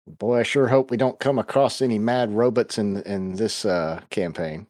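A man's voice: fairly low pitch, fairly even intonation, normal speed, darkish tone, studio quality. A man playing a tabletop game, chatting and bantering casually. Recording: roomy echo on the voice.

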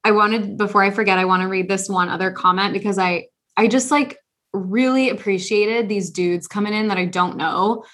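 A woman co-hosting a podcast in casual chat, blunt and animated, swearing freely. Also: mid-range voice, normal speed. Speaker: podcast co-host.

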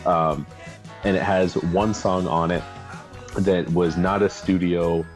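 A man's voice: sits low, steady tone, slow pace, soft background music. A man co-hosting a casual podcast while sick, coughing and losing his voice, talking in a laid-back, hesitant way. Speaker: podcast co-host. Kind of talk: casual chat.

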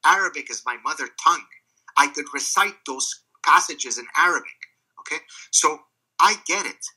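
A man from Lebanon hosting a live online discussion, lecturing emphatically, repeating words and slowing down to stress his points. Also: fairly high pitch, animated, pushed and bright, low-quality recording. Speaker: host of a live audio discussion. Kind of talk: lecturing, argumentative talk. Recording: online audio room.

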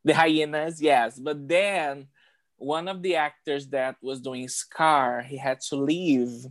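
A drag performer from Brazil with a Brazilian Portuguese accent, native Portuguese speaker, chatting as a guest with bubbly, halting phrasing. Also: female voice, deep voice, fairly even intonation. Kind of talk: casual interview. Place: New York, remote call.

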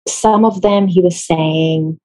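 A woman from Israel who works as a theatre designer answers interview questions in a thoughtful, measured way, pausing and correcting herself as she goes. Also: fairly low pitch, warm tone, low-quality recording.

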